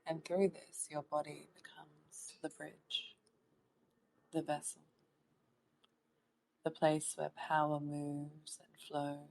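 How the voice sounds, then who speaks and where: A woman leading a guided meditation in a soft, soothing voice that sometimes drops toward a whisper, in short phrases with long pauses. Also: sits low, monotone, vocal fry, low-quality recording. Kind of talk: guided meditation, hypnotic trance induction.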